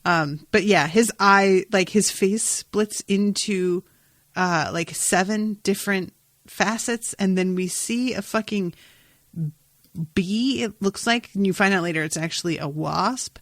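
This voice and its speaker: A woman hosting a podcast, casually recapping an episode in an animated, amused, sometimes sweary way. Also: medium pitch, measured pace, no echo. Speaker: podcast host.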